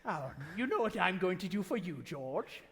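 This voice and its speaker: An actor playing a cruel, gloating old banker villain with a sneering, theatrical delivery in a radio play. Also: male voice, high voice, very animated, normal speed, a bit bright, exaggerated voice. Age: older. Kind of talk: 1940s radio play acting. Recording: reverberant hall, live stage taping.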